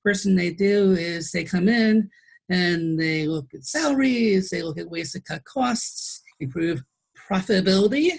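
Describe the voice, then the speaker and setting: A woman's voice: lowish voice, measured pace, gravelly, husky. A woman in a conversational interview, a nurse explaining her views in an emphatic, pointed way.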